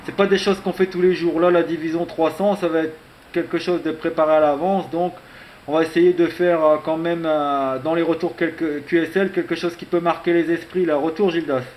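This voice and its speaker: Higher-voiced man on amateur radio. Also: steady tone, moderate pace, darkish tone. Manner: conversational, punctuates with tag words. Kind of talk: casual radio contact chat. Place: radio station in Morocco. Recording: faint background hiss on radio recording.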